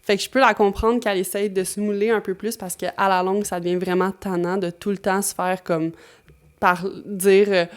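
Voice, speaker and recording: Chatty Québécoise YouTuber. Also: female voice, mid-range voice, level tone, normal speed, smooth. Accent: Québécois French. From Québec. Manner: chatty, reflective, frequent fillers. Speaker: YouTube host. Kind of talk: talking-to-camera commentary.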